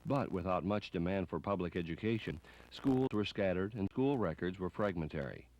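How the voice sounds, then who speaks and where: A man's voice: sits low, expressive, normal speed, a little mellow, slight background noise, rough recording. A man reading scripted narration as the narrator of a documentary.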